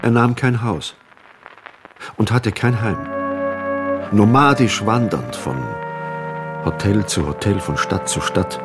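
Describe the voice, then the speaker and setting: A man's voice: lowish voice, takes it slowly, pauses often. An actor playing an eloquent literary man fondly remembering a friend in an elegiac radio drama recollection. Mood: elegiac fondness. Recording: music under the voice. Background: instrumental music.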